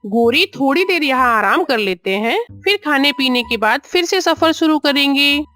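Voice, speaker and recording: Woman playing sly, coaxing male crow, high-voiced. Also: animated, normal speed, pushed and bright, soft background music. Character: sly, flattering male crow luring with sweet promises.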